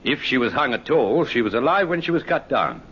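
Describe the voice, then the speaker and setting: A clinical medical examiner speaks in a rough, husky voice with emphatic swings of pitch. He sounds formal and authoritative on a dull old radio recording. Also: big pitch swings, brisk, fairly bright tone. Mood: clinical, grave. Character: authoritative, clinical male police medical expert. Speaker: actor playing a medical examiner. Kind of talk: old radio drama acting. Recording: muffled vintage radio recording.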